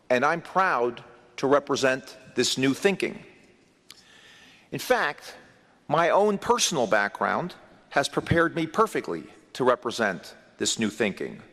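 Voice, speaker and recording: A man delivering a formal prepared speech as a government official, measured and emphatic, with deliberate pauses. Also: mid-range voice, a bit bright. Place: large conference hall. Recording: long reverb of a large hall.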